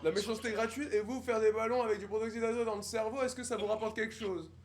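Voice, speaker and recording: A man playing a pompous, high-voiced snob. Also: steady tone, fast, soft background music, close to an everyday voice. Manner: affected formal speech, pompous and mocking. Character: haughty snobbish young posh man, condescending. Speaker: livestreamer on a video chat app. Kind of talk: improvised character roleplay with strangers.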